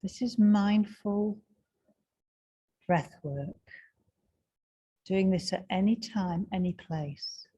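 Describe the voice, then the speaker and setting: A woman guiding a breathing meditation in a slow, soft and soothing way, with long pauses. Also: medium pitch, husky, muffled recording. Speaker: meditation guide.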